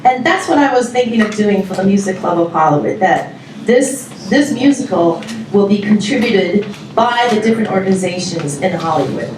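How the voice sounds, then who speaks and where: A woman from Taiwan, giving a chatty, giggly welcome speech to an audience, pausing often with fillers. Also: medium pitch, animated, noisy background. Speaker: music club organizer presenting a show. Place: large indoor hall with live audience. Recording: very echoey hall, mic far off.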